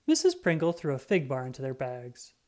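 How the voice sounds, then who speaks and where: Very lively woman reading a children's story. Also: extremely low pitch, a little fast. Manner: expressive, acts out dialogue. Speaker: children's book narrator. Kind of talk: scripted read-aloud storytelling.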